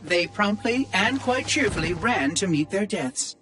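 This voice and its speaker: An actor voicing a chatty, sardonic male AI monitor in a video game cutscene, in a high, lively, fussy voice. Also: measured pace. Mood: wry. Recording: game soundtrack with music underneath.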